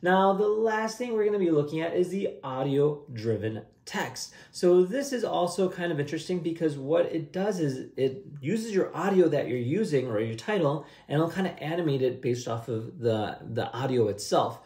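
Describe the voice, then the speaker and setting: A man's voice: on the high side, lively intonation, normal speed, hardly any pauses, a little mellow. A young adult man giving a casual, upbeat, conversational screen-share tutorial. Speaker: host of a software tutorial channel. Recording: roomy, echoey indoor sound.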